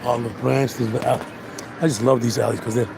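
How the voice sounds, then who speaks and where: A man with a hoarse voice walking through town and chatting casually about the street and the places around him as he films. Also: mid-range voice, level tone, a little fast, noisy background. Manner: rambling, laid-back, chatty tour commentary. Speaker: vlogger. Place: outdoors on a busy town street. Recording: outdoor street recording with music. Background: music from restaurants.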